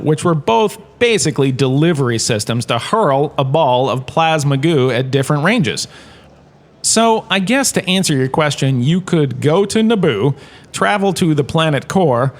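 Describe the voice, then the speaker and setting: A man playing a smug washed-up star who lectures as a history professor, conversational and wry, in a comedic audio drama. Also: on the high side. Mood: wry, breezy. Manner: conversational, self-satisfied, wry asides.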